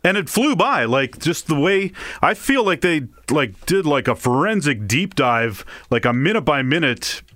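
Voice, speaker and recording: A man's voice: fairly high pitch, sing-song, unhurried, a bit bright, no room sound, studio quality. A man co-hosting a show as a film reviewer, talking in a conversational, opinionated way. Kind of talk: casual movie chat.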